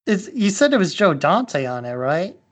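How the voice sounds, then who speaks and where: A man's voice: high voice, sing-song, normal speed. A man co-hosting a podcast, chatting casually about movies. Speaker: podcast co-host.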